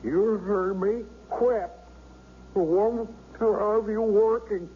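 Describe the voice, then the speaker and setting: An actor playing a bitter, hostile young man who was left crippled, speaking in halting, stammering bursts. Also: sits high, steady tone. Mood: angry. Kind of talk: 1970s radio drama acting. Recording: dull old radio broadcast with hiss.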